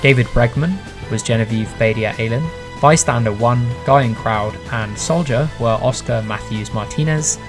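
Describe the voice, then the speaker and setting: A man's voice: medium pitch, moderate pace, hardly any pauses. A man, the writer and director, reading scripted closing credits over guitar music. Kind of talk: scripted announcement.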